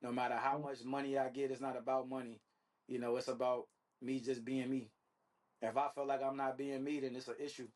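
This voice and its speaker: A man's voice: mid-range voice, flat delivery, brisk, darkish tone. A man speaking casually as an interview guest, using slang and repeated check-in phrases. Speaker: interview guest, reality dating show contestant. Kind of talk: casual conversational interview answers.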